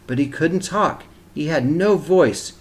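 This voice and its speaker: A man's voice: on the high side, lively intonation, slow. A man reading a picture book aloud expressively and explaining it to young children as a teacher. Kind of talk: animated children's storybook read-aloud with asides.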